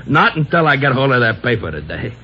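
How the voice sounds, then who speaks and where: An actor playing a simple, lovesick, punch-drunk American ex-boxer in an old radio drama, stammering and repeating himself. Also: male voice, medium pitch, very animated, close to an everyday voice. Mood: eager, wistful. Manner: stammering, halting, repeats words. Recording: dull, noisy old radio recording.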